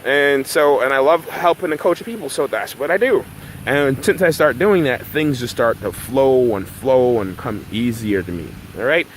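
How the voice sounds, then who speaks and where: A man's voice: mid-range voice, lively intonation, normal speed, poor audio. Middle-aged male numerology coach, loose and conversational, recorded outdoors with some faint road noise. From Barbados. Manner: conversational, often tags "right?". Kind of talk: casual vlog monologue. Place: outdoors in a park by road works.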